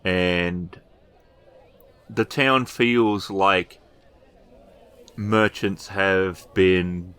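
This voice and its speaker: A man narrating a tabletop role-playing game as storyteller, improvising descriptions with hesitant pauses. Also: low-pitched, fairly even intonation, fairly bright tone, smooth.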